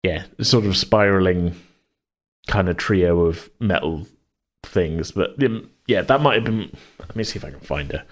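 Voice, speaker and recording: A man co-hosting a casual worldbuilding chat, hesitant and rambling as he thinks out loud. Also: sits low, unhurried. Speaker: podcast co-host.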